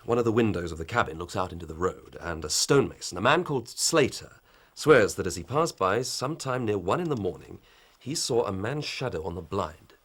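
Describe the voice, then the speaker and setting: An actor playing an earnest, ambitious young police inspector, formal and methodical. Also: male voice, lowish voice, animated, a little fast, a bit bright, slightly rough. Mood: reporting. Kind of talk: radio drama acting.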